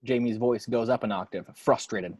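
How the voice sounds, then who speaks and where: A man with a husky voice reading scripted screenplay action descriptions as narrator. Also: medium pitch, moderate pace, a little mellow, no echo, clean studio sound.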